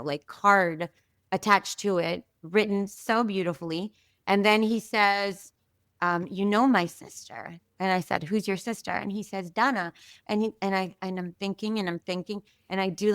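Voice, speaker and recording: A woman guest telling a story in a casual, animated way, full of fillers and asides, from Israel. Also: medium pitch, smooth, no room sound, clean studio sound. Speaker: podcast guest.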